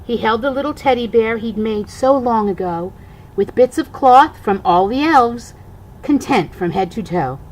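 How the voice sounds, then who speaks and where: An older woman, a grandmother, reading a rhyming children's storybook aloud in an expressive, singsong way. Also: a little high, unhurried, low-quality recording.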